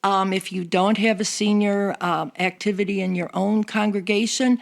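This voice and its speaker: A woman's voice: mid-range voice, fairly even intonation, moderate pace, gravelly. An older woman chatting casually as a guest, announcing details of a church seniors group.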